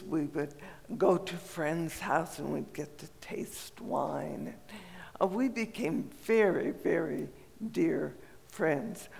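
An older woman from Colorado tells a personal story in a slow, unhurried way, pausing often and filling gaps with small hesitations. Her raspy voice carries a gravelly edge. The sound rings with the long reverb of a large hall. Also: fairly low pitch. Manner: slow, gentle reminiscing with frequent pauses and fillers.

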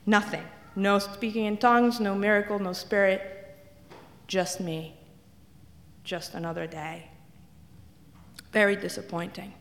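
A woman's voice: mid-range voice, fairly even intonation, a little slow, creaky, long pauses. A woman from Italy, telling wry, self-deprecating stories as a speaker in a church. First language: Italian. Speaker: guest speaker. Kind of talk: humorous personal testimony talk. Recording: echoey hall reverb.

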